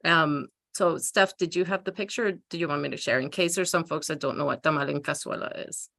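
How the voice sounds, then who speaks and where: A woman panelist telling personal stories in a casual, animated, informal way. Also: low-pitched, brisk, gravelly.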